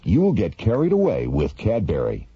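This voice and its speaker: Very dark, deep male announcer. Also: huge pitch swings, normal speed, breathy edge. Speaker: narrator and announcer. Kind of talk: scripted dramatic radio narration. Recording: dull radio recording, faint noise.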